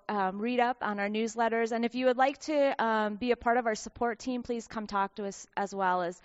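A woman missionary giving an earnest report to a church congregation, with frequent filler pauses. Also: mid-range voice, smooth, no room sound, highs cut off. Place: church sanctuary.

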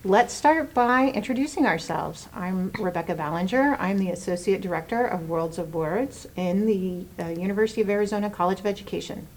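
A woman's voice: medium pitch, a little fast, few pauses, darkish tone, rough recording. A woman hosting a podcast, partly reading from a script and partly chatting. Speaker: podcast host, university program director.

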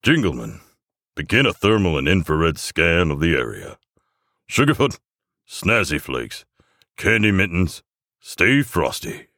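A man acts out an old Father Christmas turned gruff squad commander in a comic war story. He growls orders in a deep, creaky voice with forceful, highly animated delivery. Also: unhurried, pauses often, dry recording, clean studio sound, full character voice. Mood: stern. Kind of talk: military comedy audio story.

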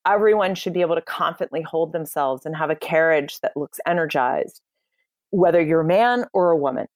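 A woman's voice: lowish voice, dry recording, clean studio sound. A woman giving confident, emphatic advice on communication in a podcast interview. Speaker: communication coach for lawyers.

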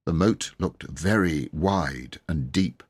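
A man narrating a children's audiobook in a measured, expressive storytelling manner. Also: a bass voice, slightly rough.

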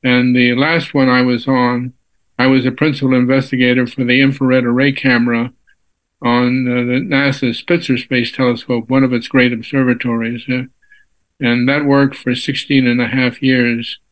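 A ninety-year-old man from Texas answers questions as an interview guest. He talks in an unhurried, halting way, full of pauses and restarts. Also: mid-range voice, flat delivery, rough recording. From Texas, USA. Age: 90s. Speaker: physicist guest. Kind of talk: casual interview.

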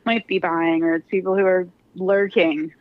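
A woman's voice: lowish voice, level tone, normal speed, no room sound. A woman with a Philadelphia accent chatting casually as a podcast guest, joking at her own expense. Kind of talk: casual chat. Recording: slightly dull, lo-fi audio.

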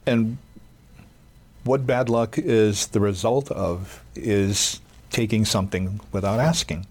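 Low-voiced guy, chill explainer on a podcast, lots of you know. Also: slow pace. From Hawaii. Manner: calm, explaining, many fillers. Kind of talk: casual chat.

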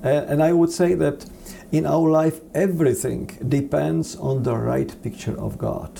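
A man with a Czech accent answering interview questions on theology in a halting, earnest, preacherly manner. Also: fairly high pitch, creaky, mellow, a little hiss or hum. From Czech Republic. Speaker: seminary dean, interview guest.

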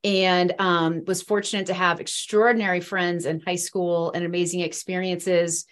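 This is a woman in her forties from the Midwest who talks at length about her life. She comes across as earnest and rambling, with plenty of fillers along the way. Her speaking voice sits a little low. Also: flat delivery, normal speed. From East Central Illinois. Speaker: guest. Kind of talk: faith testimony in a podcast interview.

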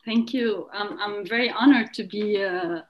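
A woman who speaks English as a second language, asking a question in a webinar in a halting, hesitant way over a video call. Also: mid-range voice, muffled recording. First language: not English. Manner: hesitant, halting, many pauses and fillers.

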